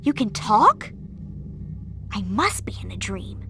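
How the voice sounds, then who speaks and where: An actress playing a spunky, curious, sarcastic young girl in a video game, perky and expressive. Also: mid-range voice, takes it slowly, vocal fry, a little hiss or hum, exaggerated voice. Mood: puzzled, pleading. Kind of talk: video game character acting.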